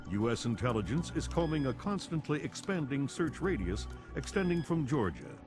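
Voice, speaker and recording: An actor playing an authoritative news anchor in a game, reading a formal, grave scripted report. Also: male voice, medium pitch, very animated, moderate pace, over music. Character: urgent, authoritative male television news anchor.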